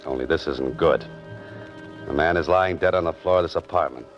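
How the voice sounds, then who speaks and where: An actor playing a wry young fiction writer caught up in crime, in 1940s radio style with an American accent and a sardonic, hard-boiled delivery. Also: male voice, rumbling low, a little fast, highs cut off, soft background music. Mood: wry, brooding. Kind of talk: 1940s radio acting, first-person noir narration.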